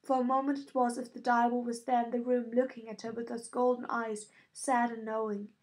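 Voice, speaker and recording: A woman's voice: on the high side, flat delivery, brisk, darkish tone, rough recording. A woman reading scripted fiction as an audiobook narrator.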